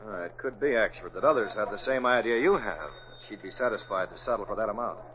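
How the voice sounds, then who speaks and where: A man's voice: on the low side, fast, a crowd in the background, natural voice. A man with an American accent playing a suave young newspaper publisher in a muffled, hissy 1940s radio drama. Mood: pondering.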